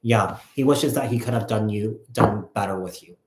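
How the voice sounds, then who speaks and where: A man giving a casual live tarot reading, thinking aloud in a halting, repetitive way. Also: lowish voice. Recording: echoey, roomy sound.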